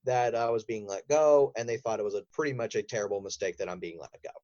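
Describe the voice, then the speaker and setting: A man telling stories casually as an interview guest, in an animated way that acts out quotes. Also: on the low side, fast talker, lo-fi sound. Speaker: podcast guest, voice actor.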